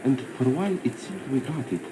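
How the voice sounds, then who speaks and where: An actor playing a solemn, indignant political speaker giving a deliberate, declamatory broadcast address in a game. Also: male voice, medium pitch, background noise, lightly acted. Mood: grave, concerned. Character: grave, indignant political speaker denouncing the government.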